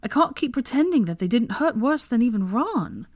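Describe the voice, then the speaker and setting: A woman reads as a shrewd young woman in a storybook, resolute and coolly assertive. The pitch moves freely, and the sound is close and dry. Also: big pitch swings, moderate pace, no echo. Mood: feigned tearful.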